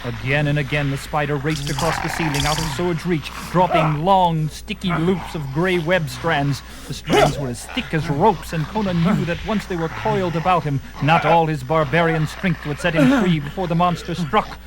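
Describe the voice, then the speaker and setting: A man's voice: a little high, normal speed, hardly any pauses, a loud crowd. A man narrating a fantasy adventure in a theatrical, suspenseful and emphatic style, with music behind him.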